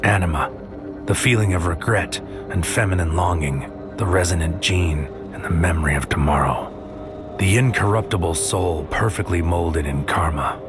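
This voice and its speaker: A man acts out a desperate psychic soldier in an audio drama, brooding in a deep, hushed voice. His phrases are terse, grim and intense, like a noir inner monologue. Loud music runs behind him. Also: moderate pace, close to an everyday voice.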